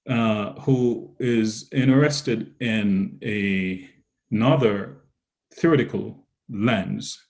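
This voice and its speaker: A man with a Jamaican accent presenting a lecture on research methods in a measured, explanatory way, stopping to restate key terms. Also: on the low side, darkish tone.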